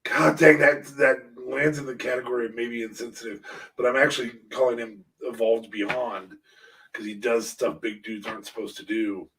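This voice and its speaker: Guy sitting back from the mic, podcast host, playful casual talk w lots of halting. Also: medium pitch, steady tone, gravelly, a bit bright, slight background noise. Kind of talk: casual wrestling fantasy booking chat. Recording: voice distant from the mic.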